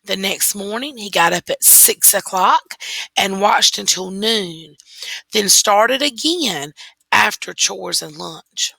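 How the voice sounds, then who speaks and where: A woman reads a children's story aloud at an unhurried pace. Her voice is bright and forceful with a creaky edge, and she stresses key words deliberately in a close, dry recording. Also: medium pitch, lively intonation, dry recording, poor audio. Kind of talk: scripted story read-aloud.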